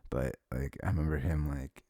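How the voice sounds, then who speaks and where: A man with a husky, creaky voice rambling informally and haltingly to one listener in an intimate ASMR chat, close to the mic. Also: rumbling low, steady tone, mellow, no room sound. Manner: sniffles habitually. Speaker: ASMR audio performer.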